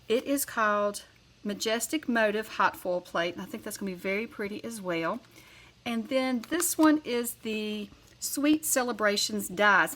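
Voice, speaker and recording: Southern-accented woman hosting a craft channel; bright, chatty, rambles and corrects herself. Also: mid-range voice, a little hiss or hum. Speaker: card-making channel host. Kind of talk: casual craft tutorial.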